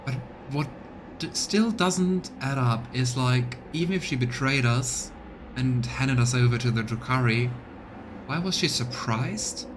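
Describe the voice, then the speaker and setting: Male game streamer, chatty commentary, dramatic read-outs of game text, clearly noisy ambient background. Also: medium pitch, unhurried. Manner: thinks aloud, chatting with viewers. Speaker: live streamer voicing a game playthrough. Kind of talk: live let's play commentary mixed with dramatic reading of game text.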